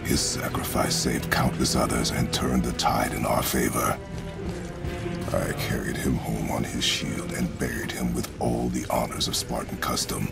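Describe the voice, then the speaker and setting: A battle-worn warrior father for a video game. His voice is extremely low and full of gravel, and every measured sentence comes out solemn and stoic, over loud music. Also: level tone, exaggerated voice. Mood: solemn, remembering. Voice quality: deep, gravelly. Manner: terse, measured, solemn, formal wording without contractions. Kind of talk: dramatic video game acting.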